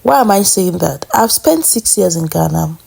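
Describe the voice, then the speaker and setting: Young woman vlog host from nigeria, chatty, mellow warm tone. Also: lowish voice, expressive, moderate pace, gravelly, slightly rough. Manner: chatty, addresses viewers as guys. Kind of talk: casual commentary vlog.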